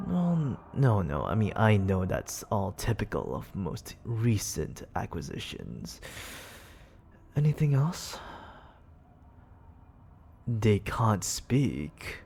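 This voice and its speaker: A suave, haughty demon lord acted out for an ASMR roleplay speaks very slowly and theatrically into a close mic. The voice is creaky and swings expressively, often sinking into breathy whispers. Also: low voice, wildly swooping pitch, a little mellow. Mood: probing, coolly doubtful.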